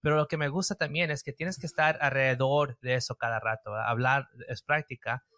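A man's voice: mid-range voice, fairly even intonation, fairly quick, bright, forceful tone, no room sound, clean studio sound. Host switching between spanish and english. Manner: mixes English fillers into Spanish. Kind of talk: casual interview chat.

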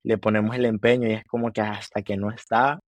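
A man's voice: on the low side, little pitch movement, no echo. A man in his twenties with a Honduran accent, a musician talking earnestly in a casual interview, with many restarts. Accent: Honduran Spanish. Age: 20s. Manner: earnest, halting, with many restarts and repeated words. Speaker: musician guest. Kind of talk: casual interview chat, mixing Spanish and English.

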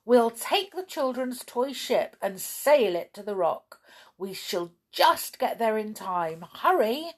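A woman's voice: a little high, big pitch swings, slow pace, pitched above the performer's own voice, full character voice. A woman voicing a brave male clockwork clown toy who speaks eagerly and urgently.

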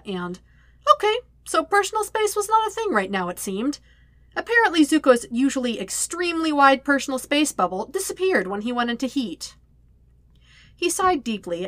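A woman's voice: on the high side, fairly bright tone, dry recording. A woman reading scripted fiction aloud as a narrator, expressively and conversationally, with wry emphasis. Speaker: podfic narrator.

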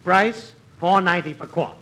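An actor playing a grandiose, wheedling schemer in 1940s radio comedy, coaxing theatrically with little stammers. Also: male voice, sits high, moderate pace, bright, forceful tone, rough recording. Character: fast-talking, wheedling, grandiose male swindler friend. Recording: vintage radio recording with faint noise.

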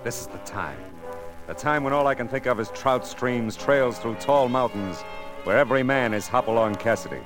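An actor playing a world-weary, wisecracking private detective with an American accent and a sardonic, clipped delivery in 1940s radio noir style. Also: male voice, medium pitch, normal speed, music in the background, lightly acted, rough recording. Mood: wistful.

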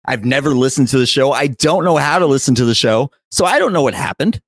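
A man's voice: mid-range voice, expressive, fast talker. A man co-hosting a podcast, trading casual, joking banter with friends. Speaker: podcast co-host.

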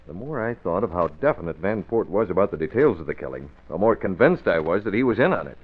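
A man playing a calm, confident crime-fighting hero, explaining in measured tones in an old radio drama. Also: low voice, husky, exaggerated voice. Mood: calm, assured. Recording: thin, phone-like vintage radio.

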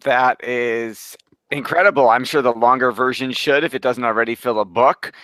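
Man, podcast host, bright tense tone, dry sound, eager. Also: mid-range voice, normal speed, no echo. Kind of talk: interview.

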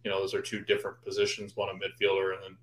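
An echoey room surrounds this man's slightly low voice. He co-hosts a sports podcast in a loose, rambling way, hedging and thinking aloud. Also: completely flat delivery, racing, fairly bright tone. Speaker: soccer podcast co-host. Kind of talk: casual sports opinion chat. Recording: roomy, echoing sound.

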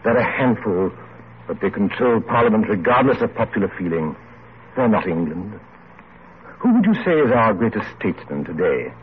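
Courtly older English gentleman, animated, low. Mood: grave, reflective. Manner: courtly, measured, oratorical. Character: genial, reflective older English man of letters. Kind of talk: 1940s radio drama acting. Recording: muffled, noisy vintage radio recording.

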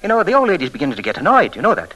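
A cocky young murderer in a vintage radio thriller. The voice is piercing and fairly high, swooping from soft insinuation to frantic nagging. He stammers and repeats himself, unstable and menacing. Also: huge pitch swings, heavily acted, low-quality recording. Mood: cocky, drunken. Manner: insinuating, halting repetitions, swings from soft to frantic. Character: cocky, unstable young murderer, a former hotel page boy. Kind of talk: old-time radio thriller acting.

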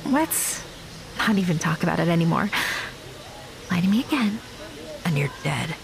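An actress playing a guarded, blunt young woman with a wry manner and a soft heart in an audio drama. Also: fairly low pitch, lively intonation, normal speed, gravelly, a crowd in the background. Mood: stern, fond. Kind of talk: naturalistic audio drama dialogue.

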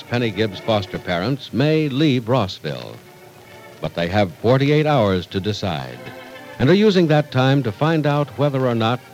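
A man's voice: lowish voice, darkish tone, poor audio. A man announces in polished vintage radio style. His scripted delivery is formal but rises and falls expressively. Music plays faintly behind his voice on the old recording.

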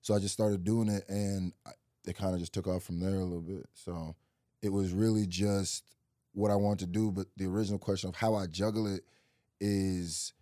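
A man's voice: sits low, moderate pace, dry recording, studio quality. A young man from Nebraska, a rapper and YouTuber, chatting as an interview guest in a loose, rambling way full of "like" and restarts. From Nebraska, USA, early childhood in Nigeria. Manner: relaxed, rambling, many likes and restarts. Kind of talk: casual interview chat.

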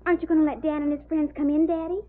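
A woman's voice: high voice, little pitch movement, rapid-fire, close to an everyday voice. An actress playing an eager, trusting little girl in an old radio Western, with a pleading manner. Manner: bright-eyed, eager, pleading. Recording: tinny narrow-band vintage radio recording.

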